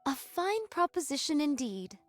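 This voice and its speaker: A solemn swordswoman from an anime game, with a high voice. She speaks in stately, old-fashioned phrases. The sound is dry, with faint music behind. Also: very animated, normal speed, no room sound. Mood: composed. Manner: formal, old-fashioned wording. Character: solemn archaic-speaking android swordswoman turned would-be farmer.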